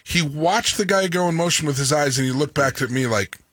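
A man in his thirties co-hosting a sports radio show, talking in a booming, animated way and laughing as he argues. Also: fairly quick, no room sound. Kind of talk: casual sports talk banter.

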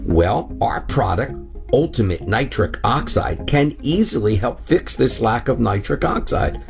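A man who presents himself as a doctor, reading a radio advert for a supplement in a warm sales pitch. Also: expressive, unhurried, vocal fry. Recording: guitar music behind.

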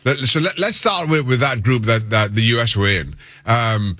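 Man, Trinidadian accent, soccer pundit, measured and articulate delivery, mid-pitched voice, dry close sound. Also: pushed and bright, dry recording. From Trinidad and Tobago. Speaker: soccer pundit, interview guest. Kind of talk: considered soccer analysis in conversation.

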